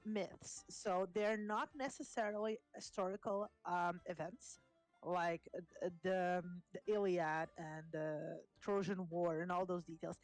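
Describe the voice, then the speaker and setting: A woman from Portugal, a native Portuguese speaker, explaining mythology in casual podcast chat, pausing often with ums. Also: mid-range voice, dry recording, rough recording. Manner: hesitant, many ums and restarts. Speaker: podcast co-host, explaining history.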